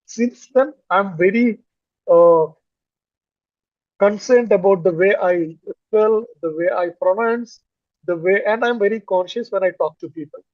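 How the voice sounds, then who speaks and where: A high-voiced man from Kerala speaks English with a Malayali accent, pausing often on fillers and restarting his sentences. The sound is dry and dull, like muffled video call audio. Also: no room sound. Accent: Indian English, Malayali. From Kerala, India. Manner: hesitant, many fillers and restarts. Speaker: English learner, finance manager. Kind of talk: casual conversation on a video call.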